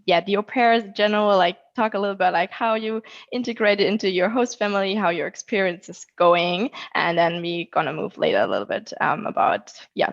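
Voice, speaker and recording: A woman's voice: on the low side, vocal fry, lo-fi sound. A woman moderating an online panel, hesitant, with many filler words. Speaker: moderator, former au pair. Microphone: video call.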